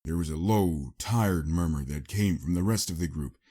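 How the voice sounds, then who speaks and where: A man's voice: deep voice, moderate pace, mellow, slightly rough. A man giving a dramatic scripted narration of a story.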